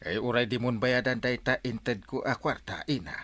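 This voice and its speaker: A man with an Ilocano accent acting a kindly, excitable village captain, exclamatory and generous, in a radio comedy drama. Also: medium pitch, moderate pace, no room sound, natural voice. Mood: concerned.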